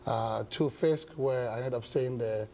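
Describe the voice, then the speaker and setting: A middle-aged man with a Ghanaian accent, speaking as an interview guest in measured, hesitant phrases. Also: mid-range voice, gravelly, mellow, a little hiss or hum. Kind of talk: studio interview, measured explanation. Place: indoor television studio set. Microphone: lapel mic.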